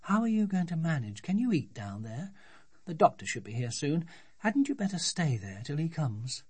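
A woman voicing a brisk, drily amused mother in a children's storybook, teasing and practical. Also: low-pitched, sing-song, darkish tone, breathy edge, no room sound, pitched above the performer's own voice, exaggerated voice.

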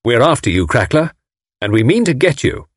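A man voicing a composed young gentleman who speaks dryly and wryly. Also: mid-range voice, big pitch swings, moderate pace, no room sound. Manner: dry, wry. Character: dry young Englishman, wry and composed.

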